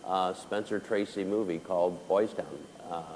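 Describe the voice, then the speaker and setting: Low-voiced male moderator, halting delivery with fillers. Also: steady tone, darkish tone, a little hiss or hum, low-quality recording. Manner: halting, with many "uh"s. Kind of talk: panel moderation. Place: conference hall.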